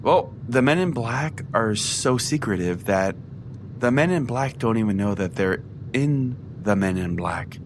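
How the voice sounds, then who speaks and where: A man's voice: mid-range voice, lively intonation, unhurried, a little hiss or hum. A man playing a self-important, foul-mouthed conspiracy pastor, rambling earnestly as if hosting his own comedy podcast. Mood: earnest, conspiratorial. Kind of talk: mock podcast monologue, comedic storytelling.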